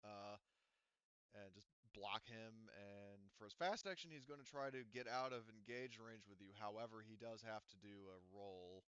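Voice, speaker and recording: A man running a tabletop roleplaying game as game master, narrating casually and haltingly as he thinks aloud. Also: on the low side, a bit bright, clean tone, no room sound, dull audio.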